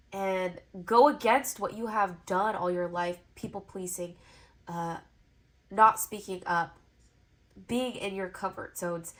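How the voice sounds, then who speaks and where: A woman with a stuffy, congested nose, giving a pick-a-card tarot reading to camera in a soft, encouraging way, with repeated phrases in flowing lists. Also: medium pitch. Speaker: tarot reader.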